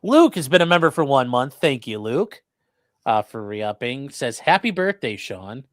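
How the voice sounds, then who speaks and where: Guy doing casual legal commentary, really lively pitch, close dry sound, wry little jokes. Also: moderate pace, no echo. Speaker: live-stream host reading viewer questions.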